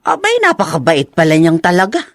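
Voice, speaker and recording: A woman's voice: fairly low pitch, wildly swooping pitch, fairly quick, dry recording, studio quality. A woman playing a practical, concerned middle-aged mother in an audio drama, earnest and questioning. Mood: thoughtful, concerned. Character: concerned, practical older mother advising her daughter. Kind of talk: melodramatic radio-style drama acting.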